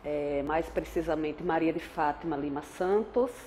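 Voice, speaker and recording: A woman's voice: low-pitched, normal speed, a little mellow, a little hiss or hum. A woman in her forties from Aracaju with a Sergipe accent, an anthropologist telling her life story in a thoughtful, reflective way and often adding tag questions. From Aracaju, Sergipe, Brazil. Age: 40s. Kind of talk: reflective personal testimony.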